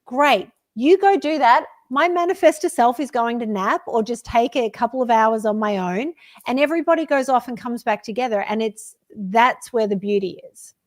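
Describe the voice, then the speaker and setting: Female, fairly high voice, informal conversational delivery. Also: normal speed. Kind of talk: casual conversation.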